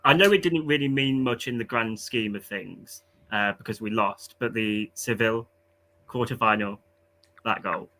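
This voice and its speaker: A man's voice: on the low side, normal speed, pushed and bright. A man calling in to a fan show, enthusiastic and thinking aloud as he talks. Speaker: caller. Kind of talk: casual fan chat.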